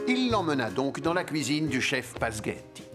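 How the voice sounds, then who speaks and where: A man narrating a children's cartoon in a storybook manner. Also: mid-range voice. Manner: slow, lively storytelling. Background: music.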